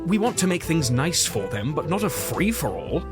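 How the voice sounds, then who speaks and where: An actor playing a caring, exasperated Victorian doctor in an audio drama, fussy, earnest and gently scolding. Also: male voice, fairly high pitch, big pitch swings, moderate pace, music in the background.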